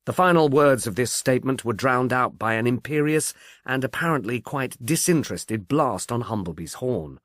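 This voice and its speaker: A man's voice: medium pitch, animated, moderate pace, fairly bright tone, dry recording, clean studio sound. A man reading scripted literary narration as an audiobook narrator. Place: close, dry recording.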